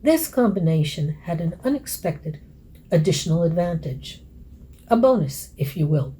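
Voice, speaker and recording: Female academic, dark mellow tone with some husk, reading a scholarly essay aloud. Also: on the low side, expressive, normal speed, slightly rough, rough recording. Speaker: academic author and lecturer.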